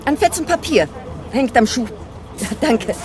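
An actress in a crime radio play playing a cool, businesslike and sardonic wife. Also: female voice, high voice, expressive, a little slow, crowd noise. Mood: practical. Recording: noisy outdoor bus station with vehicles.

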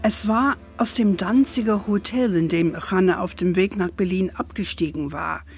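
An actress playing a gentle, playful grandmother who tells family history in a measured storybook manner for an audio drama. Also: mid-range voice, lightly acted. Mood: measured, wistful. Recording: music under the voice.

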